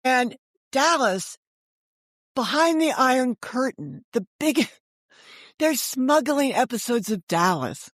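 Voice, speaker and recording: A woman's voice: sits high, sing-song, takes it slowly, pauses often, dry recording. A woman being interviewed as a guest, telling stories in a chatty, digressive way and voicing other people's remarks as she goes. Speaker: interview guest, author and storyteller. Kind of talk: animated anecdotal storytelling in casual conversation.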